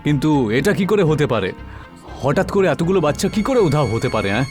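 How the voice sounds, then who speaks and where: A man's voice: fairly high pitch, very animated, fairly quick, darkish tone, soft background music. A man voicing a resolute police inspector in a cartoon story, firm and questioning. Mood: puzzled, resolute. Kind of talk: animated story voice acting.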